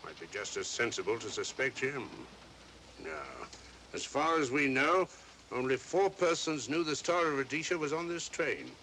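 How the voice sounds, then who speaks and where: Blunt, animated police inspector. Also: male voice, mid-range voice, normal speed. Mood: suspicious, reasoning. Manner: blunt, questioning, emphatic. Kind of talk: 1940s mystery film acting. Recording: noisy old film soundtrack.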